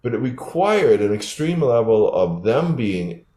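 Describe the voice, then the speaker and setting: A man's voice: medium pitch, sing-song, unhurried, mellow. An older man, a developmental psychologist, explaining his research in a thoughtful interview answer with frequent restarts. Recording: roomy echo.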